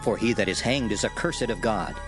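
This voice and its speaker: A man's voice: fairly low pitch, fairly quick. A man narrating a dramatized reading of archaic scripture in a solemn, declamatory manner. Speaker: Bible narrator. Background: music under the voice.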